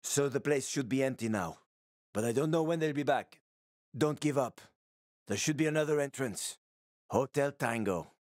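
An actor playing a wry, roguish middle-aged survivor in a video game, with a sardonic, slangy, casual way of talking. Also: male voice, mid-range voice, moderate pace, no echo, clean studio sound, lightly acted. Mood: wry, matter-of-fact. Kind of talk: video game character acting.

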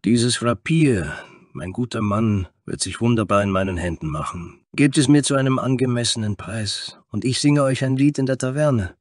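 A man's voice: medium pitch, lively intonation, normal speed, hardly any pauses, dry recording, clean studio sound, pitched above the performer's own voice, natural voice. A man voicing a flamboyant, charming tiefling bard with a florid, theatrical manner in a fantasy story. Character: flamboyant, smooth-talking male tiefling bard. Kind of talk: fantasy audio story character.

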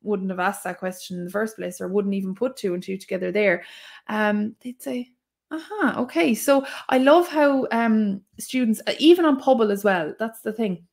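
A woman's voice: medium pitch, normal speed, creaky. Chatty Irish woman on a video call. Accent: Irish, Waterford. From Ireland. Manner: animated, enthusiastic, gestures while talking. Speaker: guest, Irish teacher. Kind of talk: casual podcast interview. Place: small room at home. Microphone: video call, earphones.

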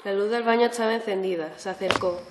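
A woman's voice: medium pitch, fairly even intonation, fast talker. Young woman narrating through heavy noise. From Spain. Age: teenager. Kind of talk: scripted horror story narration. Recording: very noisy, echoey amateur recording.

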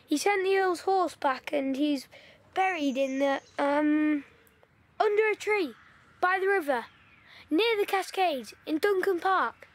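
Eager chatty wartime boy, high voice, woman in the role; audio play. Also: measured pace. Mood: eager storytelling. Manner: eager, storytelling, pushy.

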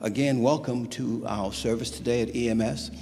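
A man's voice: medium pitch, steady tone, normal speed, background noise. A middle-aged male pastor welcoming his congregation from the pulpit, calling for amens. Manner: warm call-and-response greeting. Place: large church hall. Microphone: stand mic at pulpit. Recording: reverberant church hall.

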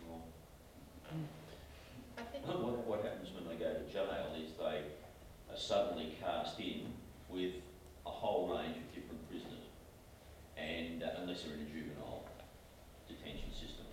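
An Australian man speaking as a panelist in a measured, reflective way. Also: low voice, a little hiss or hum. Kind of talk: panel discussion. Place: small meeting room. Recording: echoey room from far off.

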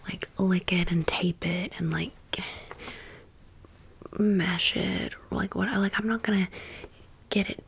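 A woman's voice: lowish voice, fairly bright tone. A young adult American woman whispering a casual ASMR storytime close to the microphone, rambling with sassy, emphatic asides. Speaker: ASMR video host.

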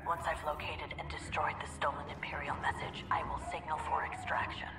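A woman voicing a cool, disciplined military commander in a video game, terse and hushed. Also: low voice, little pitch movement, brisk, echoey room, big-room sound, distant mic, lightly acted. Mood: cool, focused. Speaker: Imperial special forces commander.

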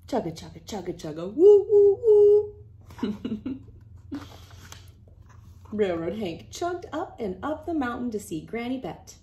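A woman in her thirties reading a picture book aloud to children in a playful, expressive way. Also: a little high, slow, pauses often. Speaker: children's read-aloud host.

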